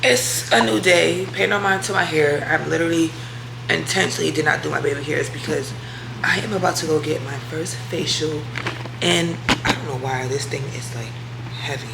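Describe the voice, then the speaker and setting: A woman's voice: deep voice, moderate pace, gravelly, heavy background noise. A woman vlogger chatting casually to her viewers, slangy and talkative, with a congested voice and a sore throat. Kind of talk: casual vlog chat to camera.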